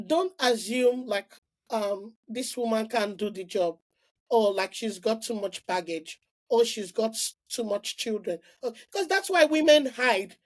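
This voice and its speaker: A middle-aged woman from Nigeria, a podcast guest telling her story in an animated, casual way with frequent restarts and "like" fillers. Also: on the high side, measured pace. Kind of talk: casual interview conversation.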